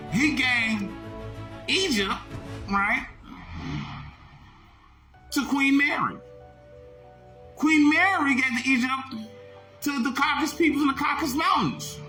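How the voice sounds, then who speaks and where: Guy ranting on a short vid, bright sharp voice, pitch jumping all over, yells a lot and repeats himself. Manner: emphatic, repetitive, bursts into shouting. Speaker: online video host. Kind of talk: animated social media commentary.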